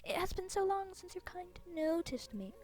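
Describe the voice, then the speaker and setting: A woman's voice: very high-pitched, lively intonation, normal speed, a little mellow, voice pushed up. A woman voicing a proud, impatient ancient female tree spirit in a game, speaking in a grand, formal manner. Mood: solemn.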